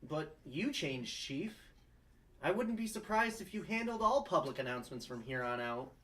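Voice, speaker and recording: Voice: mid-range voice, big pitch swings, moderate pace, a bit bright. A young performer playing an earnest, easygoing young male lawyer in a sitcom table read, conversational and easily startled.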